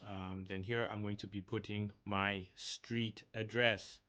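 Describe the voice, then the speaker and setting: A man's voice: on the low side, flat delivery, a little slow, sharp tone. A young man from South Africa talking casually through an online application, thinking aloud with frequent ums. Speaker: YouTuber walking through an application. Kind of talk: casual screen-share walkthrough.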